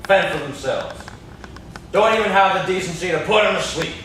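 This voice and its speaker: A man's voice: high voice, normal speed, a bit bright. A young man acting in an amateur stage play as a menacing, mournful old cabin man with a folksy rustic drawl. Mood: accusing. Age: young adult. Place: classroom, indoors. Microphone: far camera. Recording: echoey classroom, distant camera mic, noisy.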